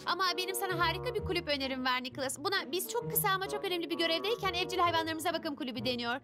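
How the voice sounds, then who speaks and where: Bir çizgi film dublajında kendine güvenen, hevesli bir genç kızı canlandıran kadın oyuncu. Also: female voice, very high-pitched, expressive, rapid-fire, loud music behind the voice. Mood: persuasive. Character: upbeat, confident, enthusiastic teenage girl leader. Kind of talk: animated cartoon dub acting.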